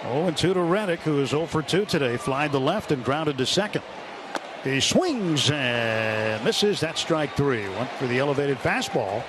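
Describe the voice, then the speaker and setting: A man's voice: mid-range voice, animated, unhurried. A man doing live radio play-by-play commentary of a baseball game from a ballpark booth. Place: open broadcast booth at a ballpark. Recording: noisy live stadium radio broadcast. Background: loud outdoor ballpark ambience.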